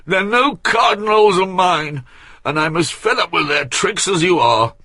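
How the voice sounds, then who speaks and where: A man's voice: high voice, expressive, measured pace, bright, forceful tone, no room sound, pitched above the performer's own voice, exaggerated voice. A man voicing a fat, fussy older nobleman with a head cold, groaning and complaining. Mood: grumbling.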